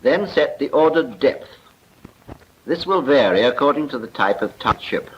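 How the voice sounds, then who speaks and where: A man's voice: medium pitch, lively intonation, normal speed. A man with a British accent giving a formal, precise scripted narration in the style of a 1940s military training film. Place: voice-over recording for a film. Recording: muffled, noisy 1940s film soundtrack.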